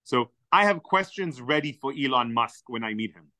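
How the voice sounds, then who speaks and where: A man's voice: mid-range voice, normal speed, no echo. A man whose first language is Hebrew, telling enthusiastic stories as a podcast guest. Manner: animated, enthusiastic storyteller. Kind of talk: storytelling in conversation.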